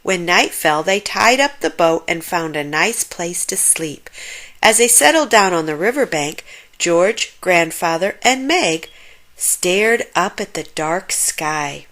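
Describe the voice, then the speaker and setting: Unhurried, expressive woman reading a storybook. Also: fairly low pitch. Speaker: children's storybook narrator. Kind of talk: expressive read-aloud narration.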